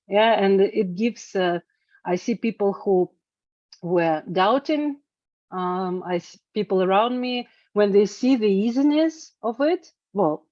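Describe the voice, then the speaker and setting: A woman's voice: mid-range voice, a little mellow, no room sound. Reflective woman. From Eastern Europe. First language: Russian. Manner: reflective, searching for words. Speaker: guest, singer-songwriter. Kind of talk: casual interview talk. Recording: dry, dull lo-fi sound.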